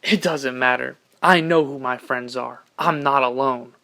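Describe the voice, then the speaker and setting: A man playing a loyal, defiant young rebel in an audio drama, with a forceful, challenging delivery. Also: mid-range voice, unhurried, gravelly.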